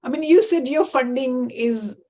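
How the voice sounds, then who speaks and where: A woman hosting a talk show, her voice a little high. She puts her questions in a halting, conversational way, often repeating words before she gets to the point. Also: mellow. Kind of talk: conversational interview.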